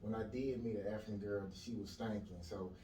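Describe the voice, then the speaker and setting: Male podcast host, blunt and slangy, mid-pitched voice, roomy echo, far from mic. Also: fairly even intonation, fairly quick, mellow, a little hiss or hum. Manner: blunt, offhand, slangy questions. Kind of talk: casual interview chat. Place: echoey podcast room. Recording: echoey room, distant mic.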